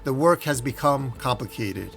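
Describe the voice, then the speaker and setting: A man voicing a courteous older spokesman for mercenaries in a comic story, with measured, formal oratory. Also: medium pitch, expressive, vocal fry, a bit bright, soft background music. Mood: diplomatic.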